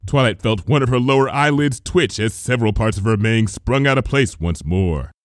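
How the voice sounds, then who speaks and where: A man reading fan fiction aloud as expressive, dramatic story narration. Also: medium pitch, normal speed, no room sound, clean studio sound.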